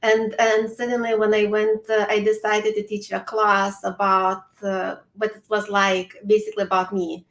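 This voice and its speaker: A woman with a Lithuanian accent speaks on a video call in an online panel, earnest and at times searching for the right words. Her pitch stays fairly flat, and her voice carries an echo from the room. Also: normal speed, few pauses. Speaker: summit speaker. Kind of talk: casual panel chat.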